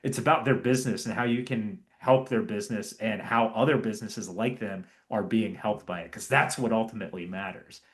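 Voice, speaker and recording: A man's voice: lowish voice, brisk, hardly any pauses. An enthusiastic man hosts a casual interview. He affirms his guest often and trips over repeated words as he starts his points. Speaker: podcast host.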